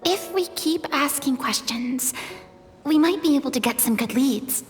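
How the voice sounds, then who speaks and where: A woman's voice: sits high, expressive, a little slow, long reverb tail, soft background music. An actress playing a bookish, earnest young girl at a tabletop game, in an anime-style video game. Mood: curious, analytical.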